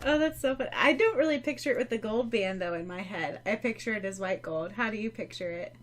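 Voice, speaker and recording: A woman's voice: medium pitch, fast talker. A woman co-hosting a podcast, chatting casually in an effusive, giggly manner. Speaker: podcast co-host. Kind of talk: casual chat about fan fiction. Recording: music clearly behind the voice.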